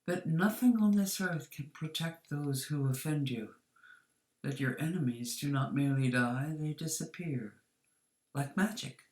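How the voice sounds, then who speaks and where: A woman voicing an elderly, courteous male crime boss with formal, deliberate speech, in a story reading. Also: sits low, a little mellow, reverberant. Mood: careful, probing.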